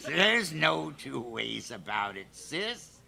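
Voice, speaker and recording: An actor playing a folksy, lovestruck old custodian in an old-time radio comedy. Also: male voice, medium pitch, very animated, slow, creaky, pushed and bright, exaggerated voice. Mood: smitten, insistent. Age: older. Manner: folksy, drawling rustic turns of phrase.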